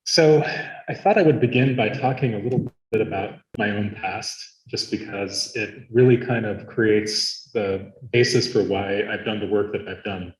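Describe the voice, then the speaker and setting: A man from Arizona, whose first language is Latvian, giving a measured conference lecture with frequent fillers. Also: fairly low pitch, echoey room, rough recording. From Arizona, United States. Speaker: academic speaker.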